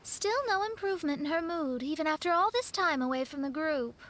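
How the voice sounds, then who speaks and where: A woman's voice: high-pitched, lively intonation, brisk, fairly bright tone. A woman playing a self-assured, analytical young mage in a video game, confident and articulate. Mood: confident, pondering.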